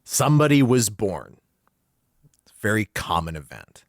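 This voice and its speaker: A man talks as a podcast co-host in a loose, colloquial way. His pitch moves around in a lively way as he recounts things. The sound is close and dry, suited to casual comedy chat. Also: moderate pace, no room sound.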